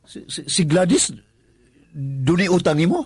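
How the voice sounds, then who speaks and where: An actor with a Cebuano Filipino accent playing an anxious, stammering working-class husband in a radio drama. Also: male voice, a little high, lively intonation, measured pace, music in the background. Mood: uneasy, puzzled. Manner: stammering, hesitant. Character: anxious working-class condo worker, husband worried about wife's debts.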